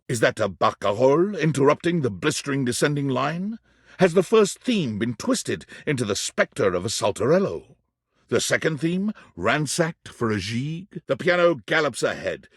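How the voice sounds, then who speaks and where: A man's voice: medium pitch, breathy edge, no echo, clean studio sound. A man playing an erudite, theatrical narrator in a whimsical game, delivering florid scripted lines with precise wording.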